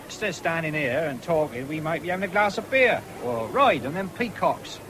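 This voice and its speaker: A man's voice: on the high side, lively intonation, fairly quick, natural voice. Easygoing young English countryman, played by an actor, noisy recording. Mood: teasing. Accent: rural English. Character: easygoing, free-spending young countryman courting at a fair. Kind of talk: 1970s radio drama acting. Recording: noisy old radio broadcast, fairground din.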